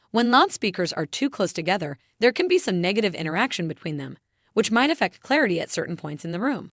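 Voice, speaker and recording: A woman with a fairly low voice delivers scripted explainer narration. Her intonation moves up and down in a lively way while she stays measured and instructional. The sound is dry and close, with very little room in it. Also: no echo. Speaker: narrator of a technical tutorial.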